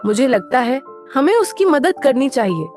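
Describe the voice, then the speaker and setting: A woman voicing a young, kind-hearted mermaid in a cartoon story, earnest and expressive. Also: high-pitched, moderate pace. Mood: concerned, caring. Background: music.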